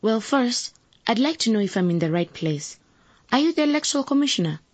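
Earnest young candidate, husky. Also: female voice, a little high, sing-song, brisk, no echo. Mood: polite, confident. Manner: earnest, assertive, formally polite. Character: determined, idealistic young woman running for civic office. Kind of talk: radio drama acting.

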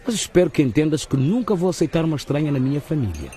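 A mellow, dark-voiced man of middle age as a controlling father. He speaks sternly and formally in a radio drama. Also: a little high, big pitch swings, fast, slight background noise.

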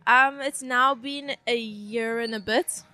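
A woman's voice: fairly high pitch, fairly even intonation, takes it slowly, sharp tone. A woman, chatting casually as a guest in short, hesitant answers. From South Africa. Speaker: podcast guest. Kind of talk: casual chat. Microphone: shared podcast microphone.

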